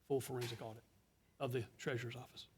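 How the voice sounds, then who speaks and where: A man's voice: mid-range voice, flat delivery, fast talker, darkish tone, slight background noise. A man, a council member reporting methodically to a county council meeting. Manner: methodical, explains step by step.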